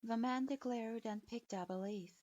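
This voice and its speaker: A woman narrating a dark fan-fiction story in a slow, measured reading voice. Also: fairly high pitch, no room sound, muffled recording. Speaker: fanfiction reader.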